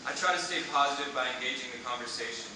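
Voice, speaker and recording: A man's voice: mid-range voice, racing. A man in his thirties reading his own personal essay aloud at a live university reading, with a dry, self-deprecating delivery. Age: 30s. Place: university reading event before a live audience. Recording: echoey room, distant mic, background noise.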